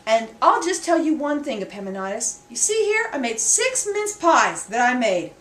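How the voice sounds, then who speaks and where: A woman's voice: high voice, big pitch swings, moderate pace, a bit bright. A woman voicing an exasperated mother scolding her foolish son in folksy dialect, in an echoey room. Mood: stern warning. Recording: echoey room, faint background noise.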